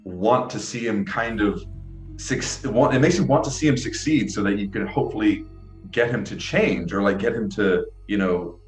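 A man's voice: lowish voice, normal speed. A young adult man interviewing over a video call, enthusiastic and rambling, often restarting his sentences. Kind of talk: casual interview chat. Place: small room, indoors. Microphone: video call, earbuds. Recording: echoey room with faint music.

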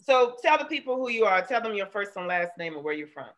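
A woman in her thirties hosting a casual interview over a video call, chatty and quick to laugh. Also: mid-range voice, sing-song. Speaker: host. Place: room at home. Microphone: webcam on video call.

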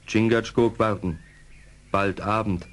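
An actor playing a grave, stoic Mohican warrior in a radio play, speaking in terse, broken phrases. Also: male voice, on the low side, fairly even intonation, slow. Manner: terse broken speech without articles. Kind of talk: German radio play acting.

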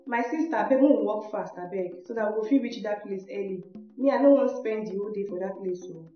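A woman's voice: mid-range voice, quick pace, lightly acted. A woman with a Nigerian accent playing a young village woman in a Pidgin radio drama. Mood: hurried. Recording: muffled, roomy, music behind.

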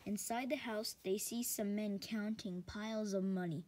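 A woman reading a children's fairy tale aloud, slightly halting, sometimes repeating words. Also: mid-range voice, fairly even intonation, darkish tone.

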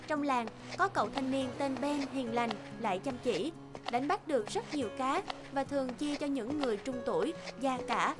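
High-pitched woman narrating a fairy tale. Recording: music bed behind the voice. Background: background music.

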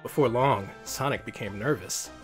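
A man's voice: mid-range voice, normal speed, over music. A man reading a children's picture book aloud as host, in storybook narration.

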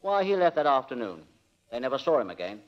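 Man acting an unflappable deadpan radio director. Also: medium pitch, close to an everyday voice. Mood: bland, evasive. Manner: dry, deadpan, unhurried. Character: blandly unflappable, evasive male radio director. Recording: dull 1940 radio broadcast recording.

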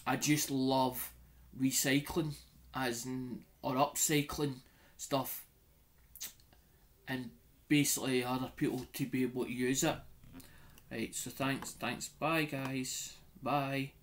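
A man's voice: medium pitch, flat delivery, takes it slowly, long pauses, a bit bright. A man, vlogging casually from a small room at home into a webcam. He rambles and restarts his sentences often, with many "as in" asides. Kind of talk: casual vlog. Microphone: webcam in front of him.

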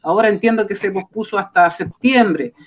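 A Chilean man hosting a boxing talk show, speaking in a measured way with many fillers and tag questions. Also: high-pitched, lo-fi sound, over a noisy crowd. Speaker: host.

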